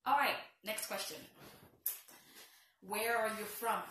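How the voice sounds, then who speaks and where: A woman's voice: on the high side, animated, slow, fairly bright tone, slight background noise. Playful Haitian woman in an echoey room. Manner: playful, teasing, self-mocking asides. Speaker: vlogger. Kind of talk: casual get-to-know-me vlog. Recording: very echoey room, a bit far from the mic.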